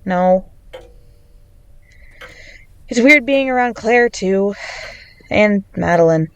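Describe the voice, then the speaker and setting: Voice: mid-range voice, lively intonation, slow pace, clear, resonant tone. An actor playing an anxious, introspective young adult in an audio drama, both narrating and in dialogue. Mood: uneasy, conflicted.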